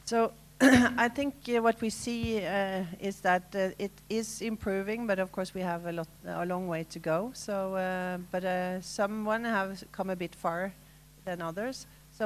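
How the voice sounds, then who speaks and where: A woman moderating a conference panel and handing the next question to a panelist. She speaks hesitantly and fills her pauses with frequent uh's. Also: mid-range voice. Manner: hesitant, many "uh" fillers.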